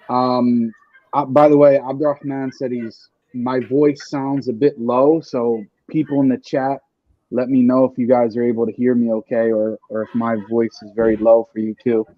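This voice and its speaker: A man's voice: mid-range voice, no echo. A male podcast host in casual chat, explaining an idea step by step with many fillers. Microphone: home computer mic over a stream. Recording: dull lo-fi mic.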